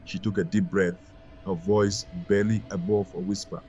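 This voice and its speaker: A man narrating a scripted folktale as a storyteller, in a solemn, deliberate and dramatic way. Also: low-pitched, little pitch movement, warm tone, dull audio, faint music behind.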